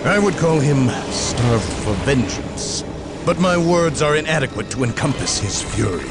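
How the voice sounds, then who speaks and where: An actor playing a dutiful, grave sergeant in a video game, reporting in formal, eloquent language. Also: male voice, medium pitch, expressive, measured pace, over loud music, natural voice. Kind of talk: dramatic video game acting.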